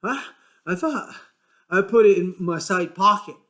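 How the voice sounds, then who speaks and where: A man voicing a big, slow-witted, gentle man who stammers eagerly and pleads softly. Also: sits high, animated, pitched above the performer's own voice.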